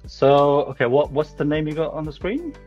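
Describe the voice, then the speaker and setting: Man from Lithuania, guest artist, relaxed, modest, muffled call audio, faint music. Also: on the high side, normal speed. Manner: relaxed, modest, laughs often. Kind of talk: casual interview.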